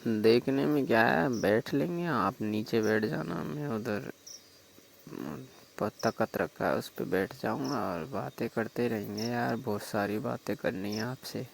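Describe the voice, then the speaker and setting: A man's voice: mid-range voice, measured pace, vocal fry, slight background noise. A man playing a flirtatious, pushy village brother-in-law on a staged night phone call, coaxing informally and persistently with a Rajasthani accent. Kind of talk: staged phone-call role-play in casual talk.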